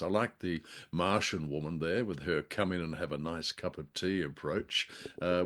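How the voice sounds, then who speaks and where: A man co-hosting a radio show, chatting casually about old drama in a halting, wry, bookish way. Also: low voice.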